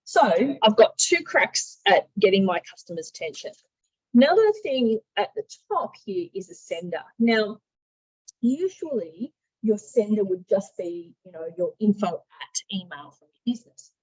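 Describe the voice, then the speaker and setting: Husky woman giving a software tutorial. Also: mid-range voice, big pitch swings, moderate pace. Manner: conversational, thinking aloud, instructive. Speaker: software trainer, marketing and sales director. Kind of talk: informal software tutorial with screen demonstration. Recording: dull, muffled lo-fi audio.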